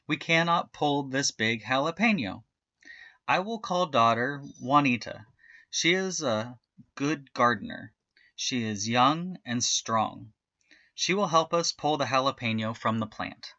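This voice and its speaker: A man's voice: mid-range voice, lively intonation, measured pace, bright, forceful tone, no room sound, dull audio. A man voicing a caring, practical farmer's wife in a storybook, with emphatic exclamations. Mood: practical, calling out.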